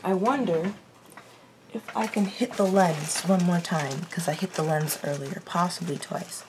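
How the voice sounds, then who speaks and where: A raspy-voiced young woman chatting casually to camera from her bedroom, reacting to a TV show and swearing under her breath at small mishaps. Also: fairly low pitch, a little slow, background noise. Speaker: TV reaction vlogger. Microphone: laptop mic.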